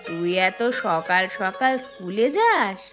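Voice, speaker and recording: A woman voicing a caring, gently nagging old village grandmother for a cartoon. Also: medium pitch, big pitch swings, slow. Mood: matter-of-fact, kindly. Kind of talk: cartoon voice acting. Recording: music behind the voice.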